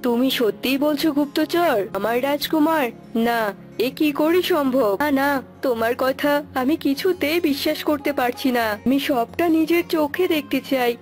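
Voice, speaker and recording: A woman's voice: high voice, a little slow. A woman voicing an imperious, scheming queen mother in a cartoon. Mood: shocked, commanding. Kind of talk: cartoon character acting. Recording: music behind the voice.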